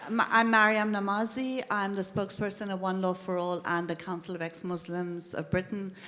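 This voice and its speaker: A woman's voice: medium pitch, little pitch movement, moderate pace, bright, forceful tone. A woman from Iran, an activist spokesperson, introducing herself on a panel. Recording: echoey hall, amplified voice.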